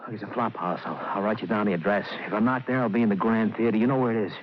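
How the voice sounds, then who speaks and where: A man playing a desperate small-time American crook on the run in a 1950s radio drama, speaking in urgent, halting slang. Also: on the low side, natural voice. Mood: anxious, urgent. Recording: muffled vintage radio recording, faint noise.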